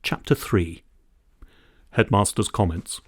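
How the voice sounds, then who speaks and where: A man narrates a children's audiobook in a low voice. His reading is expressive and scripted, and the sound is very dry, with no room around it. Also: slow pace, dry recording.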